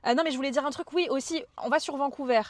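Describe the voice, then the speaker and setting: Loud young woman streaming casually. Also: high voice, quick pace, no echo. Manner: loud, expressive, slangy. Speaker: streamer, travel vlogger. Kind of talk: casual live chat with viewers.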